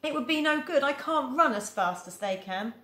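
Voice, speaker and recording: A woman voicing a lively, boastful little girl in a storybook reading. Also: normal speed, echoey room. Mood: eager. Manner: high, bright, excited girlish voice.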